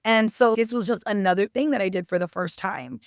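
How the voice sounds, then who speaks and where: A woman's voice: medium pitch, fairly quick, no echo, studio quality. A woman in her thirties chatting casually as an actor guest in an interview, informal and talking in short chatty bursts.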